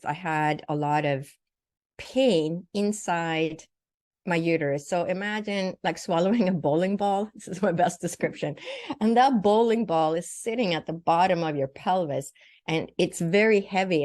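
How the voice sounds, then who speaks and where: An older woman who grew up in Sweden recounts a personal experience in an interview. Her voice sits a little low, and the sound is dry, with no room echo. She tells things step by step in vivid detail, acting out what people said. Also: darkish tone. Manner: vivid, detailed telling with acted-out quotes. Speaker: guest, naturopathic doctor and spiritual teacher.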